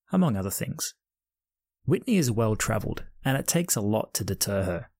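A man's voice: medium pitch, creaky, darkish tone, no room sound, studio quality. A man hosting a travel podcast, reading an upbeat scripted intro and then chatting with his guest from home during lockdown. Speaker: podcast host.

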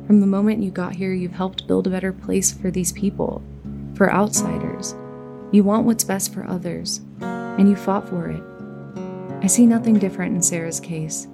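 A woman playing a calm community leader in an audio drama, gentle, measured and reassuring, with a creaky voice. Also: mid-range voice, close to an everyday voice. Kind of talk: naturalistic audio drama acting. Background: plucked guitar music.

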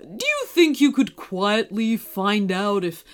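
A woman voicing a jolly, kind old male Santa who laughs a booming ho-ho. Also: huge pitch swings, slow pace. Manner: booming ho-ho laughter, hearty and loud.

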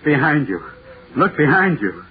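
An actor playing a haunted horror playwright who stammers and repeats himself, in 1930s radio drama style. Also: male voice, on the high side, big pitch swings, measured pace, phone-quality sound. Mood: panicked. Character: terrified radio playwright haunted by his own monster. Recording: scratchy, noisy 1930s radio recording.

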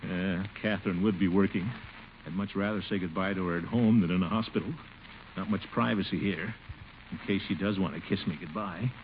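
An actor playing a pompous, blustery middle-aged uncle with a theatrical, chuckling delivery in 1940s radio comedy style. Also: male voice, deep voice, steady tone, a little fast. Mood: musing. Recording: dull, noisy old radio recording.

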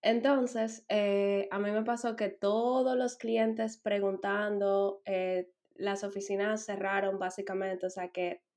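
A Dominican woman in her twenties, a podcast guest, telling her story in a relaxed way with light laughs. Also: mid-range voice, takes it slowly, few pauses. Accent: Dominican Spanish. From Dominican Republic. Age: 20s. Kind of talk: casual podcast chat.

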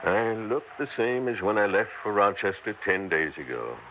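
A very deep, dry-humored older salesman for a 1960s radio play, with a pitch that swings widely. His tired wisecracks come through a dull, muffled broadcast with a faint hiss underneath. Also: big pitch swings, moderate pace. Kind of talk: 1960s radio drama acting.

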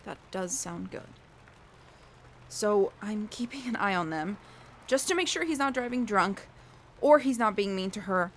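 A woman's voice: mid-range voice, lively intonation, measured pace, pauses often, slight background noise. An actress playing an earnest, lonely, self-deprecating young woman in an audio drama. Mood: earnest, confiding. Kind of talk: audio drama acting, confessional monologue and dialogue.

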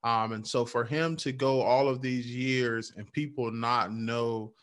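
A man's voice: medium pitch, fairly even intonation, measured pace, a bit bright. A man co-hosting a podcast, speaking reflectively in casual chat and often checking in with his listener. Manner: reflective, many "um"s and "right?" check-ins. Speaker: podcast co-host.

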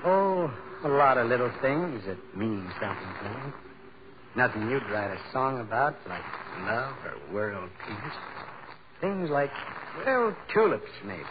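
An actor playing a plain, wistful New York shoemaker in old radio drama style, speaking in folksy, reflective, ungrammatical phrases. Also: male voice, medium pitch, big pitch swings, unhurried. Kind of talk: 1940s radio drama acting. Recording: muffled, noisy old radio recording.

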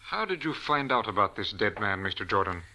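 A man's voice: on the low side, sing-song, fairly quick, bright, forceful tone, heavily acted. An actor in a 1940s radio drama playing a suspicious, questioning male henchman, on an old radio recording.

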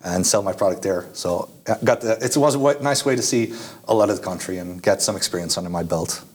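A man's voice: low-pitched, fast talker, a little mellow. A young Dutch man answering interview questions in a casual, hesitant way, with many fillers and restarts. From Netherlands. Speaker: guest.

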